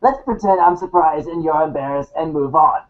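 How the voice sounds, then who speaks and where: A man voicing an aloof, sardonic, pedantic teenage girl in a deadpan, formal manner. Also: on the high side, moderate pace, muffled recording, close to an everyday voice. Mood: dry, sardonic.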